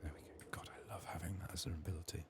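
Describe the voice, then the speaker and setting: A man softly narrating his video game in an ASMR style, musing to himself in a hushed, slightly strained, under-the-weather voice. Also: sits low, fairly even intonation, rapid-fire. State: sick, strained voice. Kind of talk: soft-spoken, partly whispered ASMR game commentary.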